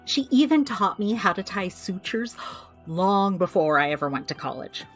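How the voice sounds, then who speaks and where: A woman's voice: mid-range voice, sing-song, normal speed, soft background music, close to an everyday voice. An actress playing a chatty, wry young American woman who runs a magical family clinic, in an audio drama. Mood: reflective, fond. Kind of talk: audio drama, recording a personal log.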